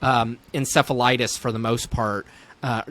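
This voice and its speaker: Guy doctor being interviewed, talking through clinical stuff off the cuff, medium pitch. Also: steady tone, moderate pace, a bit bright. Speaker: neurologist, interview guest. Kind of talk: explanatory medical conversation.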